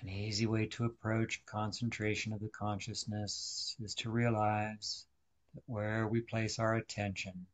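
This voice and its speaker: Guy with a lowish voice doing guided meditation, deliberate, short phrases with pauses. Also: flat delivery. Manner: deliberate, instructional, pausing between short phrases.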